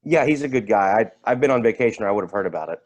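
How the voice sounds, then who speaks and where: An edgy, foul-mouthed highway patrolman , acted by a man in a stage drama. He talks quickly and trips over his words, his pitch rising and falling in a lively way as he rants. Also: muffled recording. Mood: concerned, matter-of-fact. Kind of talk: staged play reading.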